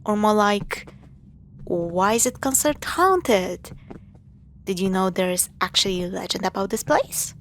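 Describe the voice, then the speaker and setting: A woman playing a teasing, affectionate young girlfriend in an intimate scripted audio roleplay, with sarcastic banter and hushed asides. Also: mid-range voice, unhurried, a little hiss or hum, lightly acted. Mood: hushed storytelling.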